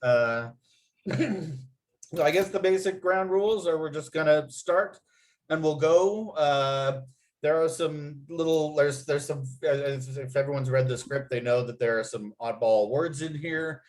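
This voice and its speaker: A man's voice: fairly high pitch, measured pace, a little mellow. Guy narrating a script table read, reads out the stage directions. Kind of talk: reading screenplay stage directions aloud.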